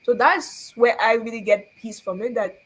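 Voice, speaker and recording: A woman's voice: fairly high pitch, moderate pace, husky, poor audio. A young Cameroonian woman hosting a faith talk, earnest and preachy, asking rhetorical questions and laughing often. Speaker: show host.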